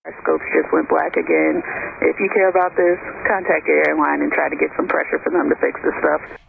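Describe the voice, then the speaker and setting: A man's voice: fairly high pitch, steady tone, fairly quick, vocal fry, a bit bright, poor audio. A man working as an air traffic controller, talking over a radio transmission. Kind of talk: operational radio talk.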